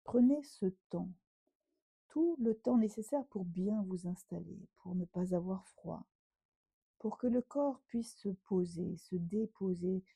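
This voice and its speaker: A woman yoga teacher leading a guided relaxation meditation in a gentle, unhurried, instructing way with pauses. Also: medium pitch, vocal fry, husky, dry recording.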